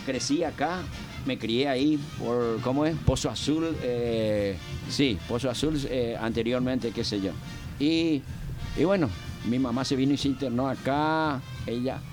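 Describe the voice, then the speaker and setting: Older man from Argentina, radio guest, halting and rambling delivery, music audible underneath. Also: medium pitch. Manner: rambling, halting with many fillers. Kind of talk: casual radio interview.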